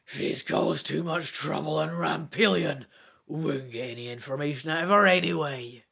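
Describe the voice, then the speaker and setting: A woman's voice: low voice, normal speed, vocal fry, fairly bright tone, breathy edge, full character voice. Woman putting on a ruthless lava creature soldier. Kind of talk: dramatic gamebook reading.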